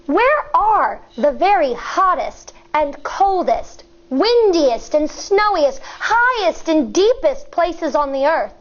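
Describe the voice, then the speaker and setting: An American woman, a schoolteacher reading a children's book aloud in a deliberate, instructive way, explaining as she goes. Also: very high voice, big pitch swings, dull audio.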